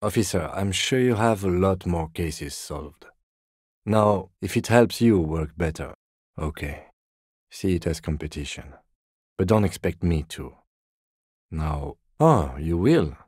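An actor playing a composed, dry-witted middle-aged police detective in a video game, speaking in a measured, formal, deadpan way. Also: male voice, low voice, breathy edge, no room sound, full character voice. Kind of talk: video game character dialogue.

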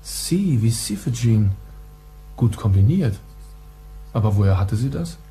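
A man's voice: medium pitch, very animated, unhurried, mellow, a little hiss or hum. An actor playing a detective's knowledgeable male confidant in a radio crime drama, speaking in a dry, terse, matter-of-fact way. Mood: wry.

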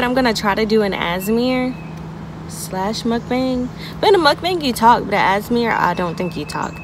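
A young woman talks to her viewers from the driver's seat of her car in a playful, chatty way. The phone camera on the dashboard picks up the small car cabin. Traffic noise comes through clearly behind her. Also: mid-range voice. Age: young adult. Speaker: vlogger. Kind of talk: casual car vlog chat. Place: inside a parked car, outdoors traffic around.

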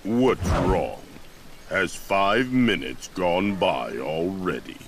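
An actor playing a cold, arrogant tyrant who leads a criminal empire, speaking in a slow, menacing, commanding way in a game cutscene. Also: male voice, low voice, expressive, background noise, full character voice. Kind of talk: video game voice acting.

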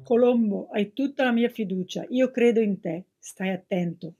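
A woman's voice: medium pitch, moderate pace, mellow, lo-fi sound, soft background music, lightly acted. A woman playing a gracious, caring queen who speaks encouragingly in a children's cartoon.

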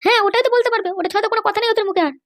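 A very high, shrill voice from a woman plays an aggrieved cartoon mother-in-law. She scolds and complains at a breakneck clip, full of scheming grievance. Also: rapid-fire, fairly bright tone. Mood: indignant. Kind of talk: exaggerated cartoon dialogue.

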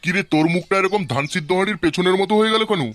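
A rapid, fairly high male voice with a West Bengali accent plays a nagging village uncle voiced by a man. He needles and mocks sarcastically in a homemade cartoon. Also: fairly bright tone. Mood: teasing. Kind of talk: amateur comic cartoon dub.

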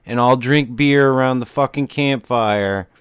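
Guy ranting on a podcast, swears a lot, smooth voice, no room echo. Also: mid-range voice, measured pace, studio quality. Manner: blunt and profane, ranting. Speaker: podcast co-host. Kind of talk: casual chat.

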